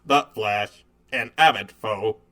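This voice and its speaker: A man voicing a scheming male android villain who speaks in a measured, calculating way. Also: lowish voice, sharp tone. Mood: gloating. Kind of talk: amateur radio drama acting.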